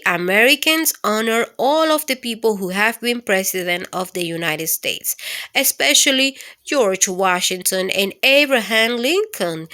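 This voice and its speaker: A woman reading an educational story aloud for children, in an expressive, instructive way. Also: fairly high pitch. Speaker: children's storytime host and reader. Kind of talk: read-aloud educational narration for kids.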